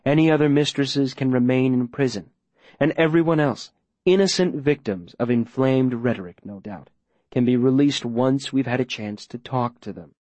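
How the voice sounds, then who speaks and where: A man playing a coldly menacing mayor in an audiobook, speaking in a measured, formal, falsely gentle way. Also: medium pitch, no echo, lightly acted. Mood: falsely reassuring. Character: smooth-talking, coldly menacing male mayor interrogating a captive.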